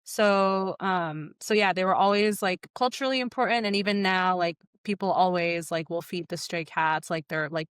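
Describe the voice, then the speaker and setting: A woman's voice: fairly low pitch, normal speed, hardly any pauses, smooth, dry recording, studio quality. Rambling young woman podcast guest. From Egypt. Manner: rambling, heavy "like" fillers, restarts. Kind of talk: casual podcast chat.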